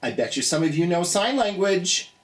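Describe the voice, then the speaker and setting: A children's storytime host reads aloud in an expressive, encouraging way, speaking directly to young listeners. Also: mid-range voice, normal speed. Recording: roomy, echoey sound.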